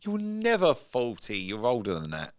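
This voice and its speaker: A man's voice: medium pitch, huge pitch swings, normal speed, dry recording, clean studio sound. A man in his early forties with a southern English accent, co-hosting a podcast in a cheeky, informal, teasing way. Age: early 40s. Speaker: podcast co-host. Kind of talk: casual podcast banter.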